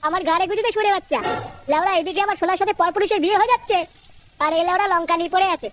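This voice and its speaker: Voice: very high voice, racing, faint music behind. An actor voicing a scheming, lovesick male cook in a comic cartoon. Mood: irritated, grumbling. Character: scheming lovesick male wedding cook, grumbling yet tender.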